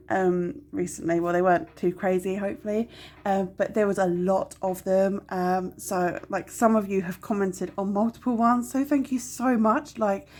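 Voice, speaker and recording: A woman's voice: medium pitch, darkish tone. A young woman with a stuffy, nasal cold, chatting casually to camera at home with lots of ums. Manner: chatty, rambling, many ums, apologetic. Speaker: budgeting vlogger.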